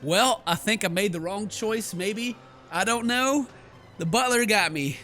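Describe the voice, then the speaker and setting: A man's voice: high-pitched, measured pace, bright, forceful tone, faint music behind. A man hosting a horror game playthrough, reading text aloud and reacting with theatrical, sarcastic, exclamatory commentary. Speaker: gaming video host.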